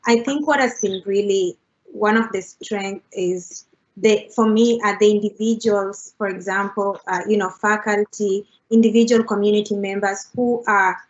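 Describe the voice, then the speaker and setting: A woman's voice: mid-range voice, fairly even intonation, normal speed. Young woman on a video call panel, lots of "you know, like", bit muffled. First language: Swahili. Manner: many fillers, leans on "you know, like". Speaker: international student panelist. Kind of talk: panel discussion answer.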